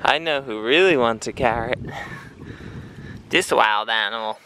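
A man's voice: medium pitch, measured pace, bright, forceful tone, slight background noise, poor audio. A young man casually vlogging outdoors on a handheld camera, chatting playfully to animals as he walks. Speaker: sailing vlogger. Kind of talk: casual travel vlog. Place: outdoors on an island farm and beach. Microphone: handheld action camera.

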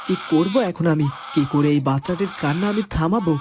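A man's voice: on the high side, brisk, noisy background, natural voice. A man playing a flustered, exasperated baby-minder in a fairy-tale cartoon, fretting, complaining and calling out. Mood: flustered, fretful. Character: flustered, exasperated adult minding a crying hungry baby.

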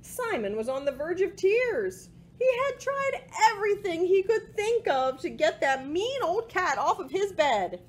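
A woman in her forties reading a picture book aloud to children in an expressive way, with asides about the pictures. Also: very high voice, unhurried, hardly any pauses. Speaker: library storytime presenter. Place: small indoor room with bookshelves. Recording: home recording with faint background noise.

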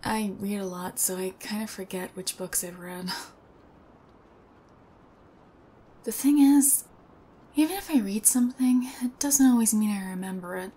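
A woman playing a shy, sweet young female thief in an ASMR roleplay, hesitant and soft-spoken, often near a whisper. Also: mid-range voice, a little hiss or hum, lightly acted. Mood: shy, self-deprecating.

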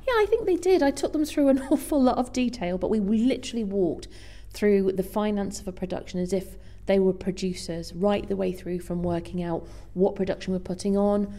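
A woman is being interviewed and explains her work conversationally. She has a mellow, soft-edged voice at an average female pitch. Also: brisk. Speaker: guest speaker, theatre finance professional.

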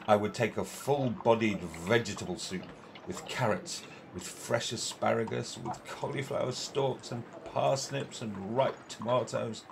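A man's voice: lowish voice, vocal fry, background noise. A man acting in an amateur radio play as an argumentative, courteous admiral who relishes every word, theatrically. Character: opinionated, food-loving old-fashioned military admiral, courteous and argumentative.

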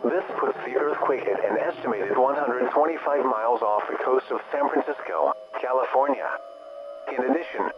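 A man's synthetic-style voice playing an impersonal weather service alert announcer, reading a formal bulletin in a measured monotone. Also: mid-range voice, highs cut off, natural voice. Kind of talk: formal scripted emergency bulletin.

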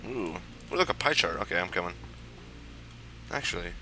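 A man's voice: low voice, very animated, brisk, sharp tone, faint music behind. A man giving casual, offhand, profane let's play commentary on a video game. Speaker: gamer commentating a playthrough.

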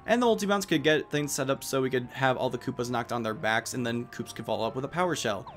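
A man with a mid-pitched voice gives casual commentary as he plays a video game. He drops jokey, self-deprecating asides along the way, and faint game music plays behind him. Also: fast. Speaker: let's play host.

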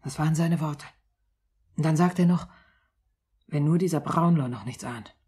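A woman's voice: low-pitched, moderate pace, dark tone, breathy edge. An actress playing a defiant young underworld woman in a 1950s German radio drama, blunt and intense. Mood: guarded, earnest.

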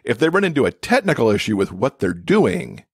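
A man's voice: medium pitch, big pitch swings, brisk, slightly rough, dry recording, clean studio sound. A man being interviewed as an expert guest, explaining things conversationally with everyday examples. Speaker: podcast guest, accessibility expert and author.

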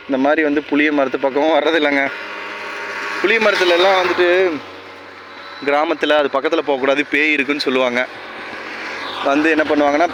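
A man's voice: mid-range voice, a bit bright. A man with a Tamil Nadu accent, talking casually to viewers and explaining things step by step in a vlog. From rural Tamil Nadu, India. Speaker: vlogger. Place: outdoors by a tree-lined roadside. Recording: clear outdoor roadside and vehicle noise.

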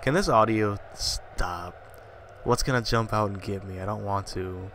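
A man with a lowish, slightly congested voice talks through a horror game in a casual, profane mutter. His voice sits over clear game sound behind him. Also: unhurried, a bit bright, a little hiss or hum. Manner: profane, jumpy, muttering asides. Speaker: gamer playing a horror game. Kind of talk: casual commentary.

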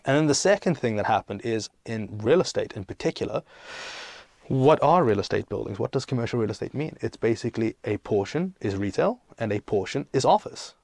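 A man's voice: mid-range voice, expressive, a little fast, gravelly, a little mellow, dry recording. Emphatic young man explaining, dry close sound. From South Africa and Greece. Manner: emphatic, explanatory, poses and answers own questions. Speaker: startup CEO, podcast guest. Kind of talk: conversational interview answers.

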